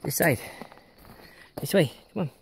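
Slow-talking man coaxing his dog outdoors. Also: fairly high pitch, animated. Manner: slow, coaxing, talks to the dog in short encouraging phrases. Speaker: hiker filming his dog. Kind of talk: casual talk to his dog while hiking. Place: outdoors on a rocky mountain slope and in a cave. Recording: outdoor recording with clear background noise.

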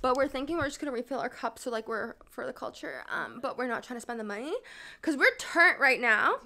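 Bright, high young woman, giddy chatty vlogger, faint background hiss. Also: lively intonation, a little fast. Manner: giddy, chatty, lots of "like" and "literally". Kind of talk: casual travel vlog.

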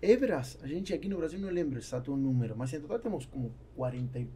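A man's voice: a little high, expressive, fast, mellow, a little hiss or hum. A man, explaining things through anecdotes as a guest in a studio conversation. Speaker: podcast guest, business owner. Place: small foam-treated podcast studio. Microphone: boom-arm studio microphone, close.

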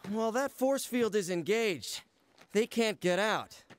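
A young masked hero in a video game. His voice sits high for a man, with a quippy, earnest, upbeat delivery. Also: animated, unhurried. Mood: wry concern. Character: wisecracking, earnest young male masked superhero. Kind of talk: video game cutscene acting.

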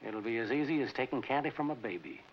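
A man's voice: medium pitch, lively intonation, quick pace, lightly acted. An actor playing a greedy, smug middle-aged businessman with oily courtesy in a 1940s comedy film. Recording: muffled, noisy 1940s film soundtrack.

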